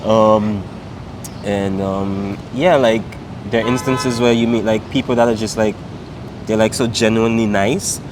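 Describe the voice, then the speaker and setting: Low-voiced man, relaxed. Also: unhurried, background noise. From Saint Vincent and the Grenadines. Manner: relaxed, many fillers like "um" and "like". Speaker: interviewee. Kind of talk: casual street interview.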